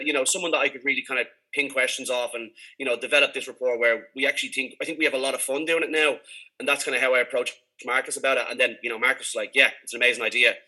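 A man with a Dublin accent talks at a brisk clip in a sharp, very bright voice. His delivery is informal and rambling, like a podcaster chatting casually in an interview. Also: mid-range voice, low-quality recording. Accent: Dublin Irish. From Dublin, Ireland. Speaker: podcast host being interviewed.

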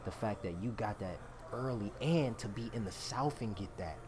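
A man in his early thirties from Oakland, California, talking casually on a podcast in an animated, slang-heavy way. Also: on the low side, normal speed, a crowd in the background. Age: 30s. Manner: animated, agreeable back-and-forth with slang.

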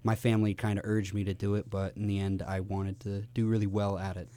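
A man chats casually as a radio guest answering interview questions. His voice is on the low side, and his intonation stays very flat and even. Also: fairly quick.

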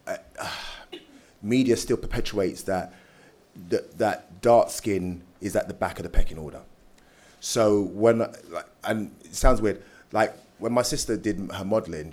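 A man's voice: fairly low pitch, a little mellow. A man speaking emphatically and conversationally as a panelist, often checking that listeners follow. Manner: emphatic, rhetorical check-ins. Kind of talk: panel discussion, conversational answer. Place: live panel event.

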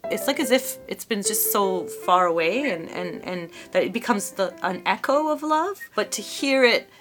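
A woman guest speaks in a casual, reflective way at a middle pitch. She halts and restarts as she searches for the right words. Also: lively intonation, moderate pace, music in the background. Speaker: interview guest.

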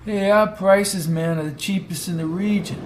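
A man's voice: high-pitched, steady tone, normal speed, noisy background, voice pushed up, natural voice. A man voicing a surly, laid-back stoner working as a gas attendant in an audio story. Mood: defensive.

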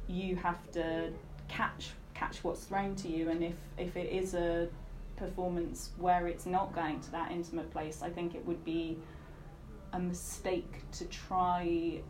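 Woman, poet and performer, measured and reflective, echoey room, background noise. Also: fairly low pitch. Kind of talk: podcast interview.

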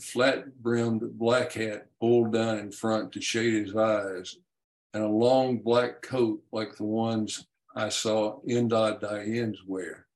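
Male author narrating his own historical tale in first person, lower-pitched voice. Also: darkish tone, poor audio. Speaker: author reading his story aloud.

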